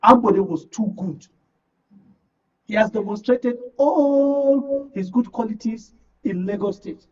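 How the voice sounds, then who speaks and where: A Nigerian man with a high, husky voice comments on current affairs. He speaks emphatically, circling back over phrases to stress them. The sound is muffled and set back from the microphone. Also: slow pace, recorded from a distance. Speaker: guest political commentator. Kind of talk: live current affairs discussion.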